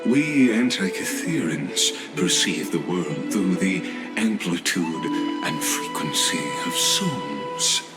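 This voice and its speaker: A very deep, slow-spoken envoy of the elders in a fantasy game. He is ceremonious and unctuously polite, his phrases rising and falling grandly over background music. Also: expressive. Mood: courtly, measured. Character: courtly, inscrutable otherworldly male envoy of the elders. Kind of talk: dramatic fantasy video game acting.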